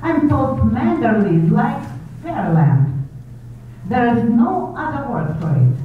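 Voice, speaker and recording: Woman acting a gushing society snob, drawn-out and swooping. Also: on the high side, sing-song. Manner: gushing, imperious, theatrical. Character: gushing, bossy, snobbish older society lady. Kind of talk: 1930s radio drama acting. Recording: echoey hall from far off, muffled, hiss.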